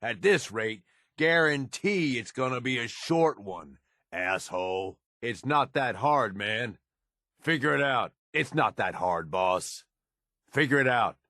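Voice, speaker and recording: Video game raider henchman: hard-bitten, cynical, blunt. Low, very animated delivery, recorded close and dry. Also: slow, dry recording, exaggerated voice. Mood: angry, fed up. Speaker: game companion character.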